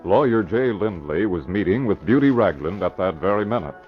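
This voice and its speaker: A man's voice: low-pitched, expressive, brisk, soft background music. A man narrating a scripted 1940s radio drama in a vintage announcer style. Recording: muffled old radio recording.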